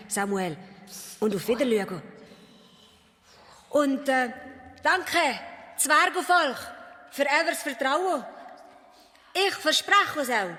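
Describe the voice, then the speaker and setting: Excitable, squeaky-high little male dwarf. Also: no room sound, exaggerated voice. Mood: eager, grateful. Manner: exuberant, theatrical. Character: excitable, boastful young male dwarf adventurer. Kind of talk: children's radio play acting.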